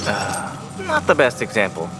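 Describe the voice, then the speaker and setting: A man's voice: on the low side, huge pitch swings, moderate pace, over loud music, rough recording. An actor playing a fussy, self-important male scientist in a video game, with a smug, technical way of talking. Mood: defensive, smug.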